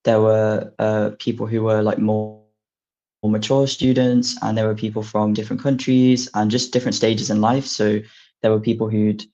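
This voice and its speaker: A man talking as a translation master's student on a panel, soft-spoken and pausing on lots of ums. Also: lowish voice, flat delivery. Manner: soft-spoken and filler-heavy. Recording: dull lo-fi audio.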